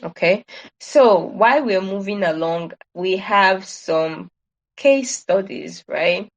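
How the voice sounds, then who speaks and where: A woman's voice: medium pitch, lively intonation, slow, dull audio. A woman giving an informal online presentation, advising in an earnest, emphatic way and repeating her points. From Nigeria.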